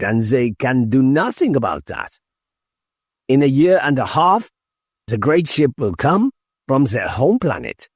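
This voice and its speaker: A man's voice: medium pitch, darkish tone, breathy edge, dry recording, clean studio sound, pitched above the performer's own voice. A man voicing an earnest young male rebel fighter in audiobook dialogue, sober and reasoning.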